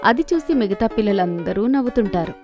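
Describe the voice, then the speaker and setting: A woman's voice: mid-range voice, expressive, brisk, music in the background. A woman telling a scripted children's story as its narrator.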